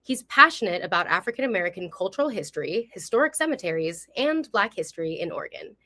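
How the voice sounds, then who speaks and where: A woman's voice: medium pitch, big pitch swings, fast talker, pushed and bright. A woman presenting as the host of a history program, reading a scripted introduction in a welcoming, upbeat manner on a live online stream.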